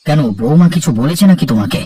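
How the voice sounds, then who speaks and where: A man's voice: fairly high pitch, lively intonation, fast, mellow, lightly acted. A man playing a distant-sounding, easygoing older husband. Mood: easygoing, placating. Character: older father-in-law, easygoing, placating husband. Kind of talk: Bengali children's cartoon dialogue.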